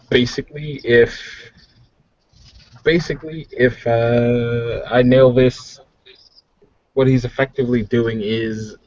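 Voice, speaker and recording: A man's voice: fairly low pitch, darkish tone. A man playing a tabletop game, chatting casually and hesitantly as he thinks through his character's actions aloud. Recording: dull lo-fi voice recording.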